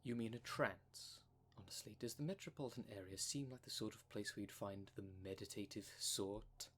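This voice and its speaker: Man playing a courteous, low-voiced pedantic dream demon. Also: normal speed, fairly bright tone, slight background noise, natural voice. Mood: fussy, cautious. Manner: formal, wordy, precise diction. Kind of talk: ASMR roleplay audio drama.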